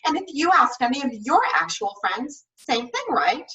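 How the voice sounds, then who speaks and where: A woman's voice: sits high, very animated, normal speed, bright, forceful tone, distant mic, lo-fi sound, voice pushed up, heavily acted. A woman voicing an eager, persuasive teenage girl in an audiobook-style story, gushing and pleading. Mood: coaxing. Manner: pleading, gushing, sing-song at times.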